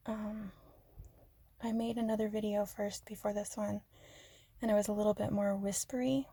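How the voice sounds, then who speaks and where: Woman, soft half-whisper, mellow and gravelly, dry close mic, flat even tone, rambling ASMR chat. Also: medium pitch, moderate pace, no room sound. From Arizona, USA. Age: adult. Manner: soft, half-whispered, self-deprecating, giggly. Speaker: ASMR creator.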